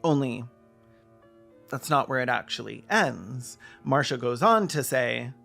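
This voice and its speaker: Male essayist, emphatic and pointed, creaky edge to the voice, scripted argument over faint music. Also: mid-range voice, lively intonation, unhurried, a bit bright. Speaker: video essayist and presenter.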